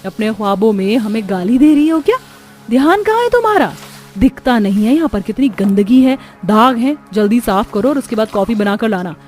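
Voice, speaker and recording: Woman doing a mean bossy cartoon sister-in-law, high voice, snappy scolding, haughty, music behind. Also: animated, normal speed, a little mellow, natural voice. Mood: bossy, angry. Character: bossy, spiteful elder sister-in-law in a household. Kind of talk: Hindi cartoon story dialogue.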